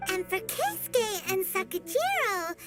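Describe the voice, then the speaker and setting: A woman voicing a tiny, chirpy, curious fairy companion in a video game, with a squeaky childlike voice and an excitable manner. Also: unhurried, exaggerated voice. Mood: chatty. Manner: speaks of self in third person, excitable. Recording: loud music under the voice. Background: loud game music.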